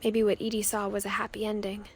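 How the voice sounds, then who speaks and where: A woman's voice: medium pitch, monotone, fast talker, a little hiss or hum, close to an everyday voice. Wistful American teenage girl, acted by a woman. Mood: wistful, wry. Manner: wry, reflective, understated. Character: thoughtful teenage girl recounting her family's past. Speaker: narrator. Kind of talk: scripted reflective game narration.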